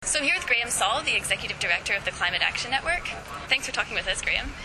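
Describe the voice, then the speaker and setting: Fast-talking woman interviewer, noisy outdoors. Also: on the high side, animated. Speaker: podcast interviewer. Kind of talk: casual interview questioning. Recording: noisy outdoor urban location.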